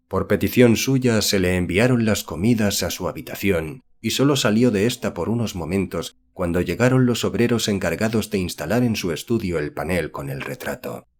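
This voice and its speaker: Deep-voiced male audiobook narrator. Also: a little mellow. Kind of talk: scripted literary narration of a horror novel.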